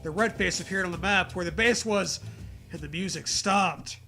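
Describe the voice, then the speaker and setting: High, bright-voiced man reading aloud. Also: normal speed, creaky, faint music behind. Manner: profane, jokey, animated reactions. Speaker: host reading a story aloud with commentary. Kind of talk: dramatic story reading mixed with crude, joking chat.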